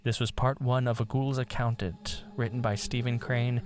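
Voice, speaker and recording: A man narrating a literary story in a theatrical, deliberate manner. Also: mid-range voice, steady tone.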